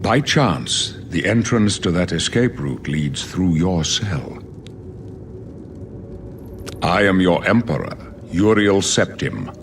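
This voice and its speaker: A man's voice: sits low, very animated, slow pace, long pauses, a little hiss or hum, heavily acted. An older man playing a weary, solemn old emperor in a fantasy video game, speaking in a grave, prophetic manner. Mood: solemn, resolute. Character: weary, solemn old male emperor facing his death.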